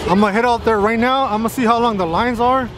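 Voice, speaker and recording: A man's voice: extremely high pitch, fast talker, music in the background. A man in his thirties chatting casually to viewers while walking through an outdoor theme park, filming himself at arm's length. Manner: chatty, addresses viewers as guys. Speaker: vlogger. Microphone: handheld camera at arm's length. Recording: loud crowd and outdoor noise.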